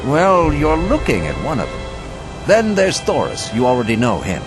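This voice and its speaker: Shrewd, instructive male veteran convict for a game, animated mid voice, music underneath. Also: medium pitch, moderate pace. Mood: self-assured. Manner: instructive, self-assured. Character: confident, shrewd male camp mentor. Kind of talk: video game dialogue. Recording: music behind the dialogue.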